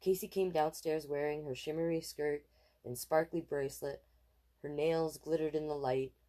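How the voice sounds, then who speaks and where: A woman reading a children's picture book aloud in an expressive, animated way, with casual asides. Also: sits low, normal speed. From Canada. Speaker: storytime reader.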